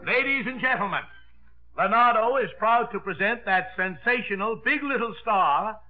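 An actor playing a showy nightclub master of ceremonies in 1950s radio style. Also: male voice, high-pitched, normal speed, close to an everyday voice. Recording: tinny, very noisy 1950s radio broadcast. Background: loud crowd.

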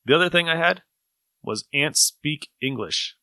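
A man's voice: on the high side, lively intonation, measured pace, sharp tone, no room sound, studio quality. A man hosting a podcast, chatting casually with friends. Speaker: podcast host. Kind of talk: casual group chat.

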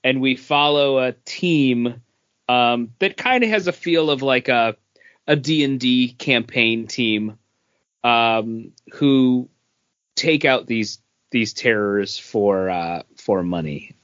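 A man chatting casually as a podcast host, halting and pausing mid-sentence. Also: medium pitch, fairly bright tone, no room sound, highs cut off. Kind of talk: casual comic book review chat.

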